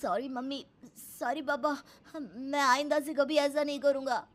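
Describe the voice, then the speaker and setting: A woman's voice: high-pitched, steady tone, moderate pace, close to an everyday voice. A woman voicing a spoiled, rude, demanding schoolboy in a cartoon story, with an insolent, whiny manner. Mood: sheepish. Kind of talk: Hindi cartoon story acting.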